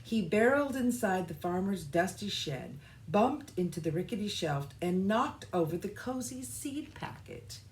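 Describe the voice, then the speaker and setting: A woman reading a children's storybook aloud with expressive, deliberate phrasing. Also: medium pitch, a little hiss or hum, rough recording. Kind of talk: scripted children's storybook narration.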